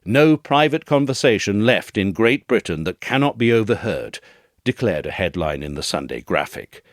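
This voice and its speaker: A man with a low voice narrates a serious scripted essay. His delivery is measured and formal, yet the melody moves widely with dramatic phrasing. The sound is very dry, with no room around it. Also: animated, dry recording.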